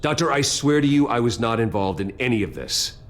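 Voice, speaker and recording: A man's voice: medium pitch, lively intonation, brisk, close to an everyday voice. A man playing a stern, guarded, wealthy man in dramatic video game dialogue. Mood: earnest, insistent.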